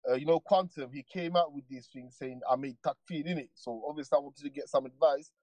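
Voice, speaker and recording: A man's voice: a little high, fairly quick, darkish tone, dry recording. Laid-back man calling in. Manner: laid-back street slang, loose and rambling. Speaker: caller. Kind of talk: casual call-in chat.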